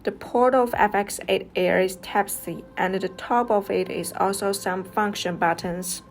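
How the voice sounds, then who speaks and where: A woman's voice: mid-range voice, steady tone, a little slow. A woman narrating a scripted product review step by step as the reviewer. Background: music.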